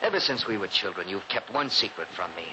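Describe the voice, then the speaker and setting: An actor playing a menacing, possessive brother in a gloomy mansion, intense and theatrical in 1940s radio style. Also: male voice, on the low side, animated, a little fast, lightly acted. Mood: menacing, insistent. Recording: noisy old 1940s radio recording.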